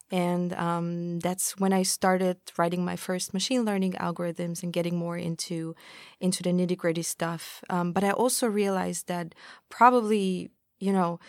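A woman from Austria, a podcast guest, speaks thoughtfully with many pauses and restarts. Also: on the low side, a little mellow, no room sound, studio quality. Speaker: founder of a tech community, guest. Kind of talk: podcast interview.

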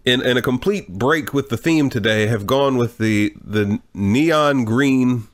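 A man's voice: medium pitch, a little slow. A man hosting a movie marathon podcast, a little congested from a cold, with wry joking remarks. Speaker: podcast host. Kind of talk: casual chat.

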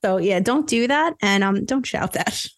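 A woman's voice: medium pitch, expressive, moderate pace. A woman co-hosting a podcast with casual, playful, sarcastic banter and storytelling, often laughing as she talks. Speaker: podcast co-host.

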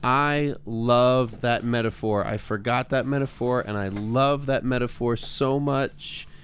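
A man's voice: mid-range voice, measured pace, smooth. A man co-hosting a podcast like a teacher, chatting casually and emphatically, acting out imagined remarks. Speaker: podcast co-host and music teacher.

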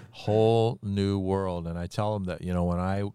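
A man with a very low voice tells his story earnestly in casual conversation. He acts out what people said as he goes. The sound is dry and close. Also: steady tone, unhurried, dark tone, clean tone, dry recording. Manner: earnest testimony, acts out quoted dialogue.

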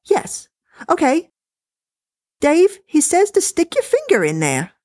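A woman voicing a fussy, chatty older wife talking on the phone, high-pitched and bossy. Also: wildly swooping pitch, slow, darkish tone, no echo, clean studio sound, exaggerated voice. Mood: chirpy, bossy.

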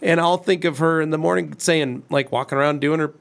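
A man's voice: on the high side, fairly even intonation, a little fast, creaky. A man in his forties co-hosting a studio talk show, speaking in a reflective, fatherly way. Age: 40s. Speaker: co-host of detailing talk show. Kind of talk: casual studio chat.

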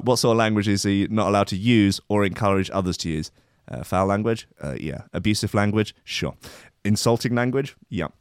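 A man's voice: lowish voice, no echo, clean studio sound. Sardonic man riffing on a news story. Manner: sardonic, mocking asides, laughs at own jokes. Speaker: comedy podcast host reading news. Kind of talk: comedic news commentary.

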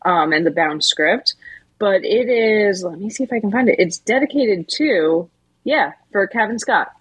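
A woman's voice: mid-range voice, expressive, unhurried, slightly rough, dry recording, rough recording. A woman co-hosting a podcast, chatting thoughtfully and searching for words. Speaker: podcast co-host. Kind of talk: casual chat.

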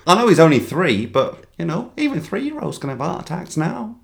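A man in casual podcast banter, his delivery dry and sarcastic. His pitch rises and falls in lively swings between quips. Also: normal speed, creaky. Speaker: podcast co-host.

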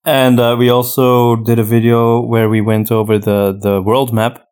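A man's voice: mid-range voice, level tone, unhurried, darkish tone, clean tone. A man co-hosting a podcast, chatting casually as he answers listener questions. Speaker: podcast host and audio drama writer.

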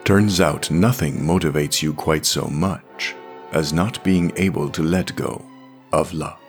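A man's voice: rumbling low, measured pace, soft background music. A man voicing a world-weary, absent-minded male boss in an audio drama, explaining things in a rambling, matter-of-fact way. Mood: wistful.

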